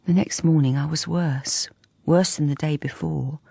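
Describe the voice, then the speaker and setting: An actress playing a timid, self-effacing, lonely British wife in a radio drama, confiding in a plain, hesitant, apologetic way. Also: female voice, low voice, steady tone, unhurried, gravelly, warm tone, slightly rough, no echo, heavily acted. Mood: wistful confiding. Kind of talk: radio drama with intimate first-person narration.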